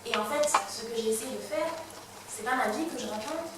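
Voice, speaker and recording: A woman's voice: on the high side, moderate pace. Woman director on a panel, first language arabic, searching for words, far mic, noisy echo. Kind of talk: reflective panel talk. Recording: echoey hall from far off, noisy.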